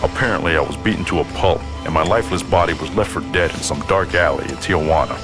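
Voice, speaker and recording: A really deep voiced beat up wrestler telling his story, wry and self-deprecating, game cutscene, music behind. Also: fast talker. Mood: wry, earnest. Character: battered amnesiac male wrestler, wry and determined. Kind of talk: first-person game cutscene narration.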